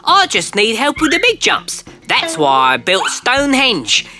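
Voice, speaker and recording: A woman voicing a friendly, hurried green alien in a children's cartoon, quick and excitable. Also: on the high side, heavily acted. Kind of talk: cartoon voice acting.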